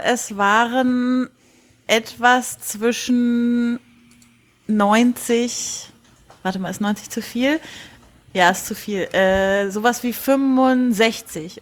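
A woman's voice: fairly high pitch, fairly even intonation, slow. A woman chatting casually and unscripted as a member of a podcast panel. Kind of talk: casual chat.

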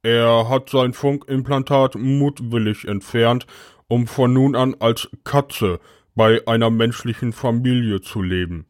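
A man playing an alien agent who files a logbook report in a matter-of-fact, deadpan manner. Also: medium pitch, unhurried, no room sound, clean studio sound, lightly acted. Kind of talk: scripted narration, audio logbook.

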